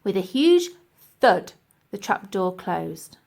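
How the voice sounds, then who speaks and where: A woman narrating a scripted children's audiobook in an expressive, deliberate storytelling manner. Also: mid-range voice, creaky. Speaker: audiobook reader.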